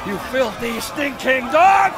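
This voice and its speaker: An actor playing an arrogant, cruel tyrant who claims godhood, with an imperious, theatrical, bellowing delivery in a game cutscene. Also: male voice, very high-pitched, very animated, slow, a crowd in the background, noisy background, exaggerated voice. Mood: enraged, menacing. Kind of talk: dramatic video game cutscene acting.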